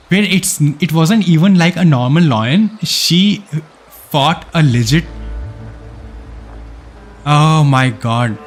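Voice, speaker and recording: A man's voice: fairly high pitch, fairly bright tone. A man live-streaming a game, reading story text aloud and dropping in casual reactions. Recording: background game music. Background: game music.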